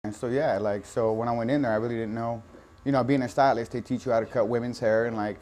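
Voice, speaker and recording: American man, medium-pitched, conversational. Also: fairly even intonation, fast talker, darkish tone. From San Diego, California, United States. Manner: conversational, frequent "you know". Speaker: barber. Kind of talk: casual documentary interview. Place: inside a barbershop. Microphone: lapel mic.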